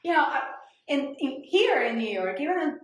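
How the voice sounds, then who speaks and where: A woman in her forties with a Colombian accent speaks in a slightly high voice as a thoughtful interview guest, often restarting her sentences. The room is very echoey and her voice bounces back strongly. She sounds reflective and conversational. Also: big pitch swings, moderate pace.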